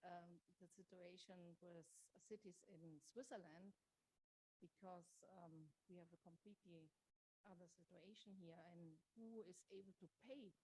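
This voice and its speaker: A woman's voice: on the low side, a little slow, dark tone, a little hiss or hum. A woman in the audience asks a question. She hesitates, apologizes, and searches for her words. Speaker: audience member asking a question. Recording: faint and distant from the mic.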